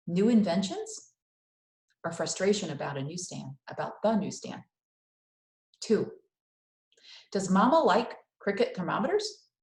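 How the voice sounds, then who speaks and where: A woman's voice: on the low side, darkish tone. A woman reading a children's story aloud expressively, as a teacher would to young listeners. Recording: echoey room sound.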